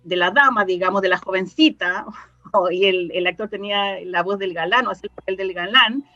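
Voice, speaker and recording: A woman's voice: mid-range voice, brisk, sharp tone, muffled recording. A woman with a Chilean accent explaining things casually as an interview guest, often searching for words. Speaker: interview guest, radio drama scriptwriter.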